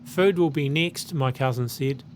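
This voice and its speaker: A man's voice: medium pitch, a little slow, a little hiss or hum. A man with a New Zealand accent, hosting his channel and earnestly reading a letter aloud.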